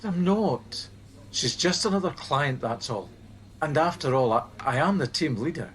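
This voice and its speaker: A man's voice: a little high, expressive, unhurried, a bit bright, a little hiss or hum. A man playing a conscientious helpline team leader in a naturalistic radio play, reasonable and mildly defensive. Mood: measured, defensive.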